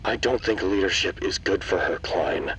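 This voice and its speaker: A man playing a harried, quick-witted radio dispatcher in an audio drama, flustered and dryly evasive. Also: low-pitched, lively intonation, a bit bright, a little hiss or hum, low-quality recording. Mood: worried, pointed.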